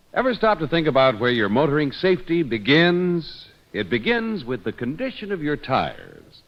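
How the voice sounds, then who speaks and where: Dull vintage radio, animated American announcer. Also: male voice, medium pitch. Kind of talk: old-time radio scripted announcing. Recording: dull old radio recording.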